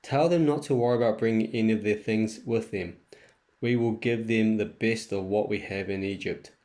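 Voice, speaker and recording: Man as king, generous, commanding, declarative orders, slightly low pitch, amateur Bible drama. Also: normal speed, darkish tone, lightly acted.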